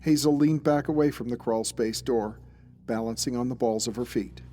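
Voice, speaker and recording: A man's voice: mid-range voice, moderate pace, dark tone, soft background music. Male narrator of a scripted horror story. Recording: faint background ambience noise.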